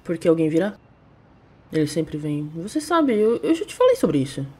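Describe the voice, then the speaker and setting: A woman acting in an audio drama as a haunted, fatalistic mage on the run, grave and resolute in a husky voice. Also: low-pitched, sing-song, moderate pace, darkish tone, full character voice. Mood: fearful.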